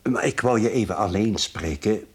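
Willem, husky, hesitant boss. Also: male voice, on the low side. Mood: worried, tactful. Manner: hesitant, halting, tactful. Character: Willem, hesitant, worried male workplace boss. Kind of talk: radio drama acting.

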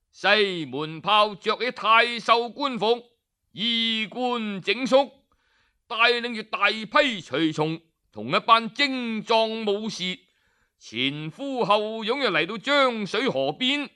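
A man telling a traditional folk tale in a measured, expressive way. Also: high voice, smooth. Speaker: storyteller.

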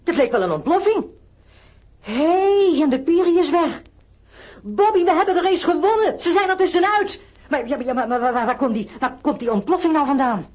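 A woman playing a plucky, excitable teenage boy adventurer in a radio play, exclaiming and stammering in surprise. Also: sits high, moderate pace. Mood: startled, amazed. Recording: loud ambient effects noise throughout.

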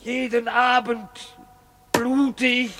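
An actor voicing an anguished, burdened tree, halting and declamatory, in a poetic radio drama. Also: male voice, very high voice, flat delivery, over music, full character voice. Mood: anguished, weary.

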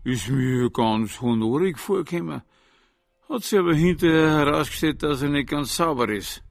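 A stuffy older Bavarian club official in a radio drama. His voice is gravelly and very dry and close, with a defensive, pedantic, folksy way of talking. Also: on the high side, lively intonation, normal speed, no room sound, faint music behind. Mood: aggrieved.

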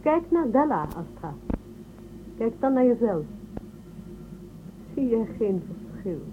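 A woman plays a commanding imperial adviser in a radio play, probing and insistent. Her voice is on the high side and very animated, heard through a tinny, phone-like recording. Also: takes it slowly. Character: probing, authoritative woman adviser in a space empire. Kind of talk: Dutch radio drama acting. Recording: tinny phone-like band, loud background noise.

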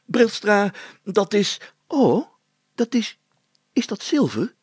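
Man playing excitable, high-voiced Hannes. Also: slow, slightly rough, no room sound, heavily acted. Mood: amazed. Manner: excited, breathless exclaiming. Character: eager, excitable treasure-hunting boyish male named Hannes. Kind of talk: children's story character acting.

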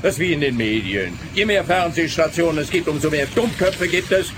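An actor playing a jaded, condescending police officer in a radio play, pompous and long-suffering, with a Swiss accent. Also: male voice, on the high side, animated, a little fast, close to an everyday voice. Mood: exasperated. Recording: noisy outdoor street ambience with traffic.

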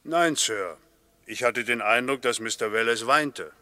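A man's voice: medium pitch, measured pace, pushed and bright, no room sound. A man acting a dutiful, unshakeable police constable giving evidence in a 1960s German radio drama, clipped, deferential and factual. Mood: firm, respectful. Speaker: actor in a radio play.

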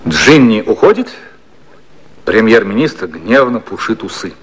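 A man's voice: on the low side, big pitch swings, measured pace. A man acting as the wry narrator of a 1950s satirical radio play, reading the stage action theatrically.

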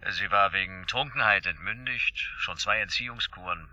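An actor playing a dry, sceptical chief inspector, laconic and matter-of-fact, in a radio drama. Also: male voice, low voice, normal speed, bright, forceful tone, no room sound. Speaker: detective.

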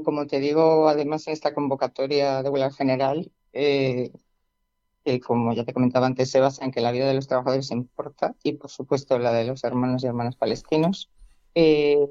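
Mellow-voiced union guest on a dull line. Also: medium pitch, flat delivery, no room sound. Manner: measured, affirming, explanatory. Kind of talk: conversational radio interview.

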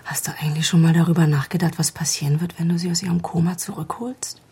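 Very breathy low female voice, hushed, cool pressing confidante, insinuating, radio crime drama. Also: level tone, quick pace. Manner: probing, insinuating. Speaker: actor.

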